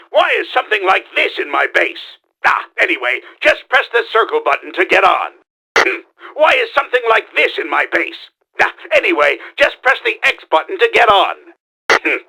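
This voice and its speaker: A man playing a pompous middle-aged mad scientist villain in a video game, theatrical and exclamatory, with a gravelly, forceful voice. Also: high voice, full character voice. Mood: surprised, puzzled. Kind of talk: video game tutorial voice lines.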